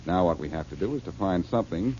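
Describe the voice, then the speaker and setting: A cocky detective lays out how a murder was faked, in a confident tone. His voice is low and lively. The 1940s radio recording is dull and a little hissy. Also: fast. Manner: confident, explaining.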